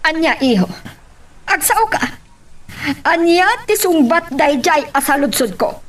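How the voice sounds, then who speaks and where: A woman's voice: sits high, lively intonation, measured pace, a little hiss or hum. A woman with an Ilocano accent playing a stern, probing middle-aged mother in an audio drama. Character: stern, probing mother questioning her grown son. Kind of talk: amateur Ilocano radio-style romance drama acting.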